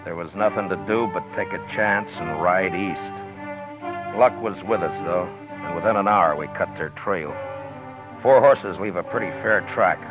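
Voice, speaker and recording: An actor playing a steady, commanding male frontier marshal who also narrates, in a 1950s radio drama, calm and authoritative. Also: sits low, a little slow, muffled recording. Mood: narrating. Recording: old radio broadcast with music bed.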